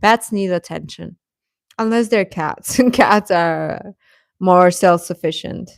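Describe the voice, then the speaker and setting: A woman's voice: fairly low pitch, slow, creaky, no echo, studio quality. A young adult woman hosting a live stream, chatting informally with her viewers and often chuckling as she talks.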